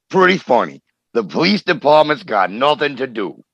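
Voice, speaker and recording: A man's voice: mid-range voice, sing-song, a little slow, vocal fry, a bit bright, no echo, lo-fi sound, full character voice. A man playing a surly, stubborn suspect, defiant and slangy, in a comic radio crime drama. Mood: scoffing. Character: surly, stubborn male wise-guy suspect.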